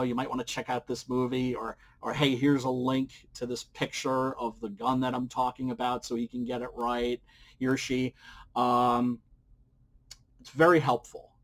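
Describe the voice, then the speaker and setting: Man giving a halting, informal how-to talk. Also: mid-range voice. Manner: halting, digressive, conversational. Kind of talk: informal instructional talk to camera.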